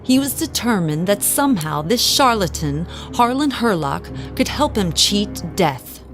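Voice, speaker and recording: An actress playing a resentful, well-to-do wife, narrating formally and dramatically in an audio drama. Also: female voice, mid-range voice, big pitch swings, unhurried, soft background music. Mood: composed. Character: resentful, well-to-do wife recounting her confession.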